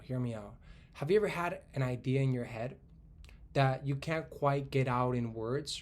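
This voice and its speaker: Man in his twenties thinking aloud. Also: mid-range voice. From Spain. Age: 20s. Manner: thinking aloud, frequent restarts and "right?" tags. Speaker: tech commentator. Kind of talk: casual solo monologue.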